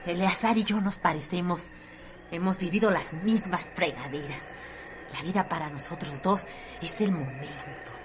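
A woman's voice: lowish voice, moderate pace, lightly acted. A woman with a Mexican accent playing a possessive, defiant lover in a rural radio drama, recorded with noise. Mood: intimate. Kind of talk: theatrical radio drama acting.

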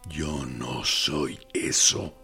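An actor playing a male torturer captain in a radio drama, measured and defensive, justifying himself with quiet intensity. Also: extremely low pitch, faint music behind, full character voice.

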